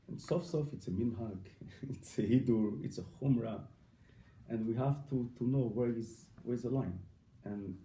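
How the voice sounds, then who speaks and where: Gravelly, halting rabbi with a dark voice. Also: male voice, medium pitch. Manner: halting, repeats words, mixes Hebrew and Yiddish terms. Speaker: rabbi teaching family purity law. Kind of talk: informal lesson. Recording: echoey room, faint background noise.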